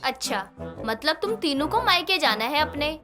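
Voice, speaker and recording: Woman acting a high, stern old mother-in-law. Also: big pitch swings, normal speed. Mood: sarcastic, stern. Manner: sarcastic, scolding, bossy. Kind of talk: animated moral-story voice acting. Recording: music bed behind the voice.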